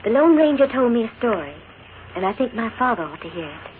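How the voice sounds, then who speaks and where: An actress playing a gentle, sincere young woman in a 1940s radio western, speaking with dramatic pauses. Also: fairly high pitch, big pitch swings. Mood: tender, earnest. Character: gentle, earnest young woman, a colonel's daughter. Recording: tinny 1940s radio broadcast, faint noise.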